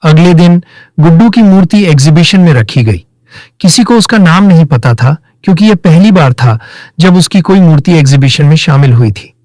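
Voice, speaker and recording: A man reads a scripted children's moral tale as narrator. His voice sits a little high for a man, and the recording is dry, with no room sound. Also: expressive, normal speed, a little mellow, dry recording.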